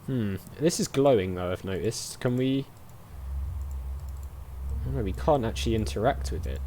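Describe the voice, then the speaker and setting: A man's voice: fairly low pitch, lively intonation, normal speed, mellow, a little hiss or hum. A man hosting a gaming video, giving casual let's play commentary and reading dialogue options aloud.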